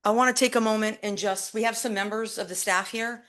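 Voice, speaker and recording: A woman giving a loud, emphatic staff presentation at a public board meeting, speaking into a podium microphone with deliberate pauses. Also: medium pitch, steady tone. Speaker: city recreation staff presenter.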